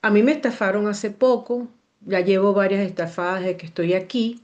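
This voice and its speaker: A Venezuelan woman, an astrologer, explaining in an animated, digressive way and repeating words for emphasis. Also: medium pitch, normal speed, dull audio. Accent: Venezuelan Spanish. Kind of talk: casual explanatory talk.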